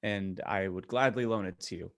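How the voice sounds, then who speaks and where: Male podcast co-host, fairly low voice, casual and enthusiastic, recorded dry. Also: moderate pace, fairly bright tone, no echo. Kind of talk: casual chat.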